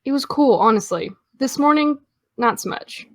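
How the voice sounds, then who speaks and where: Dry, guarded teenage girl, highish voice. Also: expressive, normal speed, no room sound. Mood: defensive. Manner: dry, hesitant, defensive. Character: guarded, hungover teenage girl, sarcastic, quietly jealous. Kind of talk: naturalistic audio drama acting.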